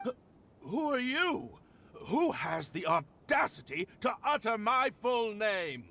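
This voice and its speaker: An actor voicing a pompous, cowardly aristocrat in a video game, haughty and formal with flustered stammering. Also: male voice, a little high, very animated, takes it slowly, fairly bright tone, heavily acted. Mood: indignant, haughty. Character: pompous, cowardly aristocrat man, proud and helpless.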